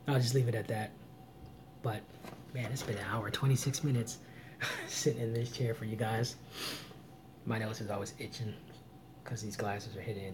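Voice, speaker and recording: A man in his late thirties giving earnest, preachy relationship advice on a live vlog, swinging between loud emphasis and low murmurs. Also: noisy background. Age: 30s. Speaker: vlogger. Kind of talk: live-stream advice chat.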